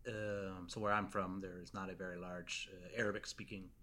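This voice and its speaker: A man hosting a podcast, asking questions in a rambling, halting way. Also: low voice, flat delivery, bright, forceful tone. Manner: rambling, halting, many restarts. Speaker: podcast host. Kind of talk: casual interview.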